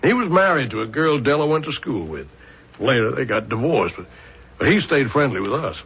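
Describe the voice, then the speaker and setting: An actor playing an ordinary middle-class suspect in a radio drama, weary, resigned to guilt and haltingly protesting. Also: male voice, mid-range voice, very animated, vocal fry, breathy edge, exaggerated voice. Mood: weary, defensive. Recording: muffled 1970s radio drama recording.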